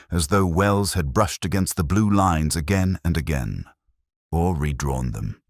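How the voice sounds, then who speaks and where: A man acts as a brooding male detective telling his own mystery story in a very low, solemn voice. The delivery is measured and reflective, with lively rises and falls in pitch. The sound is dry and close, with no room around it. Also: dry recording, heavily acted. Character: brooding, introspective male police detective, first-person narrator. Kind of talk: literary scripted mystery narration.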